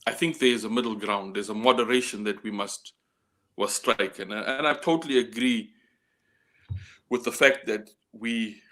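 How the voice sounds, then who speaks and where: A man speaking in a measured discussion, deliberate and halting, often repeating a word before going on. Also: fairly low pitch, a bit bright.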